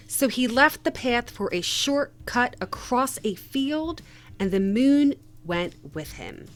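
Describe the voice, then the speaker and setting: Slow-paced woman reading a children's storybook. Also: mid-range voice. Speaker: read-aloud host.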